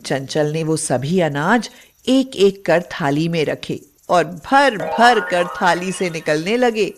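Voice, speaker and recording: A woman narrating a scripted children's moral story in an expressive, dramatic way. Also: lowish voice, slow, faint music behind.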